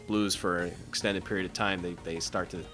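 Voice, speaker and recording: A man's voice: sits low, steady tone, a little fast, sharp tone, faint music behind. A man interviewing a musician, asking his questions hesitantly with frequent restarts. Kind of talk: casual interview.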